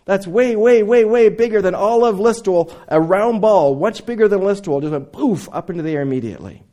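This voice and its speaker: A middle-aged man who grew up in Indonesia, preaching a sermon with dramatic swings between loud emphasis and near whispers. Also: high voice, lively intonation, fairly quick.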